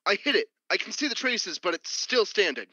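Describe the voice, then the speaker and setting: A man's voice: high-pitched, very animated, brisk, sharp tone, no room sound, full character voice. Trigger-happy crewman, male actor: tense, urgent radio play voice, slightly muffled recording. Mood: agitated. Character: jumpy, trigger-happy junior spaceship crewman. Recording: dull, muffled lo-fi sound.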